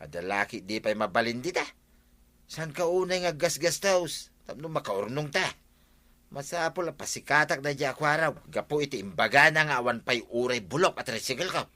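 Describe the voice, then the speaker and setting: A man's voice: medium pitch, lively intonation, fairly quick, pushed and bright. A man with an Ilocano Filipino accent playing a thrifty husband in a comedy radio drama. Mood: earnest, insistent.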